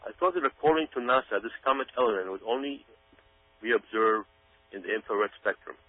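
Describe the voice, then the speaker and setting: A man's voice: on the low side, expressive, a little fast. A man giving an emphatic, folksy monologue commentary, speaking directly to his listeners as if explaining his case. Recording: tinny phone line with hum.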